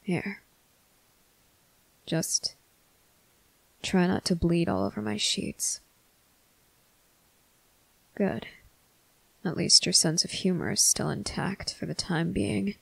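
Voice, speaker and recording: A performer playing a stern, protective male vampire officer in an ASMR roleplay, speaking deliberately and sometimes hushed, with a commanding manner. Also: mid-range voice, fairly even intonation, creaky, breathy edge, no room sound, heavily acted, poor audio. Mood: stern, caring. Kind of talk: ASMR roleplay addressed to the listener.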